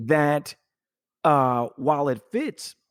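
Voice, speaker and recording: A man's voice: on the high side, expressive, slow, clear, resonant tone, dry recording, clean studio sound. A man hosting a casual conversational podcast interview in an effusive, emphatic, rambling way. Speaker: podcast host.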